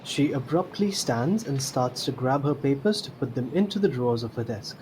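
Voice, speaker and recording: A man with a middling pitch narrates an audio drama from a script. The recording carries faint noise. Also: expressive, moderate pace, darkish tone. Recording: slightly noisy home mic.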